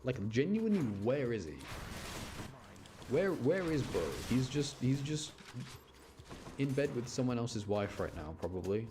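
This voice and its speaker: A man's voice: mid-range voice, animated, unhurried, long pauses, noisy background. A man giving casual live commentary as he streams a video game, rambling and often repeating himself.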